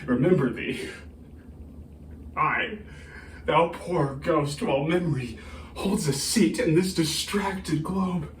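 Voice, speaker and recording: A man playing a grieving, embittered prince in a theatrical Shakespearean soliloquy, halting and declamatory, moving between hushed brooding and anguished outcry. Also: fairly high pitch. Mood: shocked, fervent resolve. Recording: roomy, distant microphone, faint noise.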